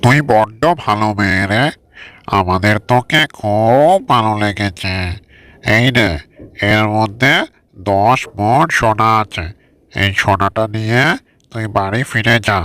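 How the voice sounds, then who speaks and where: A man voicing a blustery, gruff ogre husband in a cartoon story, with emphatic delivery. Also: lowish voice, a little slow, a bit bright. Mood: pleased, kindly. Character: gruff man-eating ogre husband, grateful yet menacing.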